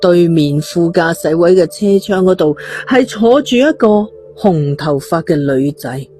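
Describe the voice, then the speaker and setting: A woman's voice: on the low side, expressive, unhurried, mellow, faint music behind. A woman with a Cantonese accent reading a scripted story dramatically as an audiobook narrator.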